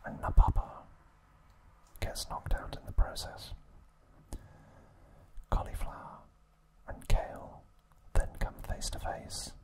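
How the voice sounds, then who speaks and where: A man hosting an ASMR video, giving a trivia recap in a soft, breathy whisper with deliberate phrasing and pauses. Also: low-quality recording.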